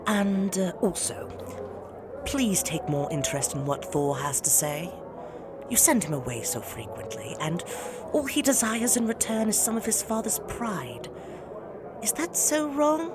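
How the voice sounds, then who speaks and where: A woman's voice: medium pitch, big pitch swings, a little slow, heavy background noise. A woman playing a poised, jealous queen goddess in an audio drama, regal and formal with cutting politeness. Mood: restrained.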